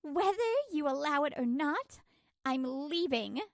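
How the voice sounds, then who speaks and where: Woman acting a high-voiced, fed-up wife. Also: unhurried, no room sound, studio quality. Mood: resolute. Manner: cutting, resolute. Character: fed-up working wife, firm and indignant.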